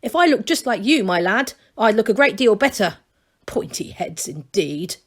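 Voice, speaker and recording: A woman's voice: medium pitch, big pitch swings, normal speed. Woman doing snappish old gnome granny. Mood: scolding. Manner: snappish, scolding. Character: sharp-tongued old female gnome, bossy.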